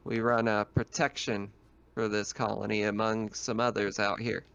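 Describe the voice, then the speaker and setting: A man voicing a tough butch woman guard, gruff and dismissive, in a tabletop role-playing game. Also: lowish voice, flat delivery, moderate pace, a bit bright, a little hiss or hum.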